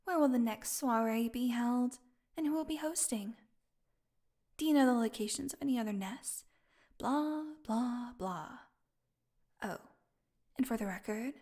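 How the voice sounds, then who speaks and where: A woman's voice: fairly high pitch, a little slow, pauses often, darkish tone. A woman playing a snarky, defiant, starved vampire prisoner in an audio roleplay, with a sarcastic, taunting drawl. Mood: bored, mocking.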